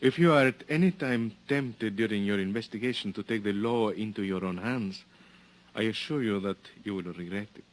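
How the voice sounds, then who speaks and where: An actor playing a formal, stern police lieutenant with a Mexican accent in an old time radio drama, stiffly courteous. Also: male voice, fairly low pitch, normal speed, natural voice. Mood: warning. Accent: Mexican Spanish.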